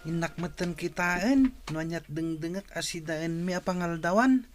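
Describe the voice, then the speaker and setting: A woman's voice: fairly low pitch, moderate pace, over music, lightly acted. A woman playing an obedient village youngster in a radio drama, with an Ilocano accent. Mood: explaining. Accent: Ilocano Filipino. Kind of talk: Filipino radio drama acting.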